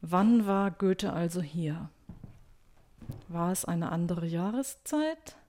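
Woman, spoken-word poet, slow pace, soft-edged mellow tone, repetitive wordplay. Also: on the low side, expressive, a little hiss or hum. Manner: deliberate, repetitive, playing with words. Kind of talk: improvised spoken-word performance.

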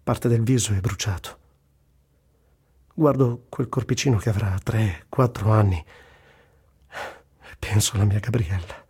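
An actor playing an Italian journalist volunteering in a war, narrating in the first person in a radio reading, earthy, tender and wry, with literary delivery and blunt asides. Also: male voice, fairly low pitch, unhurried, pauses often, a little mellow, husky. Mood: tender, sorrowful. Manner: dramatic literary recitation with blunt colloquial asides.

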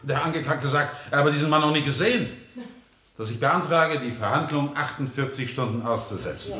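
A man's voice: on the high side, a little fast, pushed and bright. A man playing a courteous but urgent defence lawyer in a 1970s German radio drama. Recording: roomy radio play studio sound.

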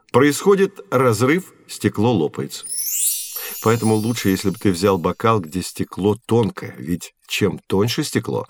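An actor playing a patient, knowledgeable science teacher who explains in a measured, articulate way in an audio play. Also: male voice, fairly low pitch, a little mellow, no room sound. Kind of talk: scripted educational audio play.

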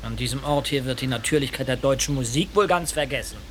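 An actor in a radio drama playing a proud, tradition-minded musician who speaks earnestly and with mild scorn. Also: male voice, medium pitch, fast talker, a bit bright, a little hiss or hum, natural voice. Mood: scornful.

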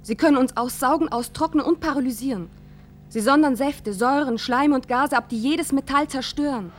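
Ship's computer, female performer, grave, insistent, high radio-play voice. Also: brisk, slight background noise, close to an everyday voice. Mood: grave, emphatic. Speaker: voice actor. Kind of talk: German science-fiction radio drama acting.

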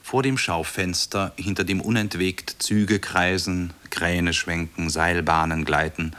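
An actor playing an observant storyteller, narrating a literary radio play in a measured, descriptive way. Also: male voice, low voice, steady tone, bright, forceful tone, clear, resonant tone. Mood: observant, sober. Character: observant male storyteller of a Christmas street scene.

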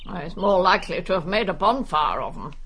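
A woman's voice: lowish voice, big pitch swings, a little fast, fairly bright tone, a little hiss or hum. An actress playing a nosy, brusque older English village woman in a radio drama, gossiping wryly. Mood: wry, confiding.

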